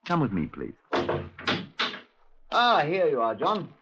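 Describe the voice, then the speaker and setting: An actor playing a polite, composed Scotland Yard superintendent with a British accent in a 1950s radio drama, speaking in a measured, understated way. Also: male voice, a little high, huge pitch swings, a bit bright, breathy edge, heavily acted. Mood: courteous, composed. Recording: muffled vintage 1950s radio recording.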